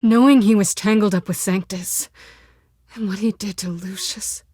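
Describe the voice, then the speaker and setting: A woman's voice: medium pitch, normal speed, warm tone, breathy edge, no echo, studio quality, lightly acted. A woman voicing a sly, self-assured cat burglar in a video game, with a sultry, wry, coolly teasing way of talking. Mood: grim, determined. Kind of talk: video game voice acting, dramatic dialogue.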